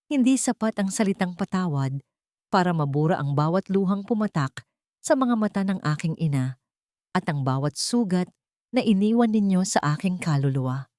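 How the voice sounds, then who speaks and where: A woman narrator voicing a wronged young woman who speaks with calm, weighty, bitter accusation. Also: fairly low pitch, normal speed, dry recording, studio quality, natural voice.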